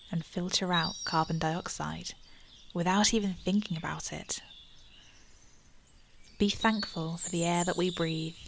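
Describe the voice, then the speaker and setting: A woman guiding a gratitude meditation in a slow, soft, soothing voice that drops to a breathy near-whisper at times. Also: lowish voice, slight background noise. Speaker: meditation guide. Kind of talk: guided meditation.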